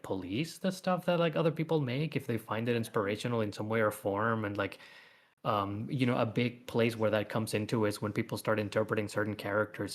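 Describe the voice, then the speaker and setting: A man chatting casually as a guest comic creator, rambling along in an earnest, self-effacing way. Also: on the low side. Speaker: guest comic author and artist. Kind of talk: casual livestream chat.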